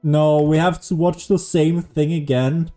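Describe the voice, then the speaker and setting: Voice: mid-range voice, fairly even intonation, measured pace, dark tone. A streamer giving casual, playful and sarcastic commentary while playing a video game. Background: game music.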